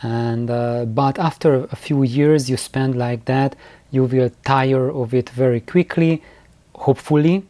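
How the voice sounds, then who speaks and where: A man giving an informal, unscripted explanation as a video host, halting often to repeat words and restart. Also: medium pitch, fairly even intonation, mellow, no echo. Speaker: host of DIY loudspeaker building videos.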